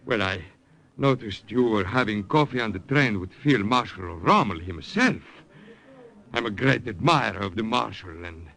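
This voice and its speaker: An actor playing a fawning, excitable Italian count who flatters effusively and calls out shrilly, in 1950s radio acting style. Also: male voice, fairly low pitch, moderate pace, heavily acted. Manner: flattering and effusive, shouts shrilly when calling out.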